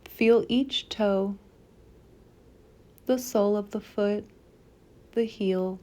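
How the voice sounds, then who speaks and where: A woman leading a guided meditation as a scripted practice, speaking softly and gently in deliberate phrases with pauses. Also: medium pitch, fairly even intonation.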